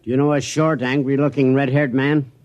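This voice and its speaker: A man's voice: mid-range voice, little pitch movement, a little fast, darkish tone, dry recording, muffled recording, close to an everyday voice. An actor playing an anxious, emphatic company man trying to avert a killing in a 1950s radio drama. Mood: urgent, exasperated. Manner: hesitant, flustered, emphatic. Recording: noisy vintage 1950s radio broadcast.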